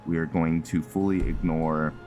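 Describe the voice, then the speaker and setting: Casual male gamer, low-pitched, thinking out loud over a playthrough, game music underneath. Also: little pitch movement, moderate pace. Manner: rambling, thinking aloud.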